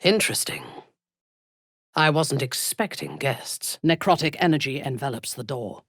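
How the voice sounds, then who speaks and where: A ruthless dark elf warrior woman from a fantasy video game. Her voice is low and husky, and she speaks slowly and imperiously. Every phrase is deliberate, haughty and full of menace. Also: animated, no echo, studio quality, heavily acted. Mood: haughty, measured. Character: ruthless, haughty adult female drow warrior zealot.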